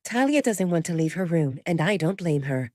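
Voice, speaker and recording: A woman's voice: lowish voice, very animated, moderate pace, darkish tone, no echo, studio quality. A woman voicing a protective young pack woman in an audio drama, reasoning with restrained irritation.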